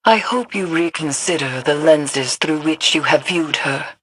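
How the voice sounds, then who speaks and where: An actress playing a grave mystic seer in a video game, speaking solemnly and formally. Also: female voice, low-pitched, a little slow, husky, no echo, low-quality recording.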